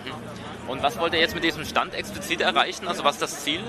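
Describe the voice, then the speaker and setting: A man's voice: on the high side, fairly even intonation, fast, crowd noise. A man doing a casual street interview as a podcast host, recorded outdoors on a handheld microphone. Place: outdoors in a busy city street. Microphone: handheld field microphone.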